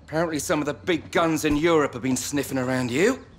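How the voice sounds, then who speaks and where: An actor playing a brisk, chummy English football agent with a matey, persuasive manner in a video game. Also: male voice, fairly high pitch, slight background noise, close to an everyday voice. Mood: excited, persuasive.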